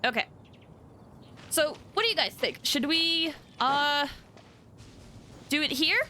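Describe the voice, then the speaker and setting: Animated, high-voiced woman streaming games. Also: takes it slowly, slight background noise. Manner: excitable, chatty. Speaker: game streamer. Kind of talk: casual livestream chat with viewers.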